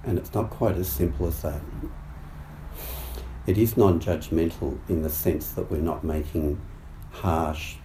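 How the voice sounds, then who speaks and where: A man with a croaky voice giving a dharma talk as a meditation teacher, speaking haltingly and thoughtfully with many fillers and restarts. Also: low-pitched, fairly even intonation, mellow. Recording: roomy echo, faint background noise.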